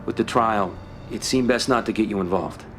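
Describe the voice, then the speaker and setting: A man plays a loyal underboss in a game cutscene. His American voice is on the low side, earnest and deferential, set over slight background noise. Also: moderate pace, a bit bright, natural voice. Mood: apologetic. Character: loyal, apologetic young mafia don. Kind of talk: video game cutscene acting.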